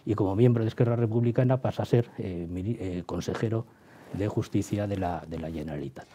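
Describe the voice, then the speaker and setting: A man from explaining history as an interviewed professor, halting as he searches for words and checks with tag questions. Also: fairly low pitch, warm tone, a little hiss or hum.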